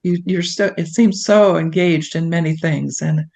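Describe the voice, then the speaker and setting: A woman's voice: deep voice, steady tone, a little slow, vocal fry, warm tone. A woman hosting a conversational interview, feeling her way through questions and rephrasing them as she goes. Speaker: interviewer, podcast host.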